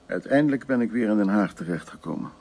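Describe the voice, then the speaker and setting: Low-pitched, animated, creaky storytelling man. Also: quick pace. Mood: reflective, storytelling. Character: evasive, hard-drinking man recounting his wartime past to his girlfriend. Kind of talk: Dutch radio drama acting.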